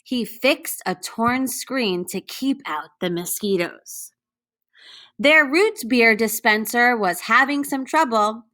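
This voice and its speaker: Female teacher for children's read-aloud. Crisp, bright timbre, unhurried delivery, addresses young listeners directly. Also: fairly high pitch, animated. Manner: deliberate, pausing to question young listeners. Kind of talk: read-aloud storybook narration.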